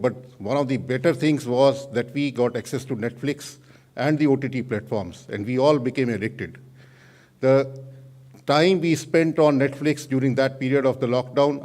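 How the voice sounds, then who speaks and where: Male, Indian accent, medium pitch; official making a semi-formal public speech, somewhat halting. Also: a little mellow. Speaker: government official giving a welcome address.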